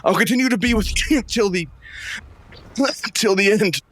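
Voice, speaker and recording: High-voiced, grave radio reporter played by a man. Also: normal speed, fairly bright tone. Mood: faltering, resigned. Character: grave male radio reporter staying at his post amid invasion. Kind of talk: 1930s-style radio drama news broadcast acting.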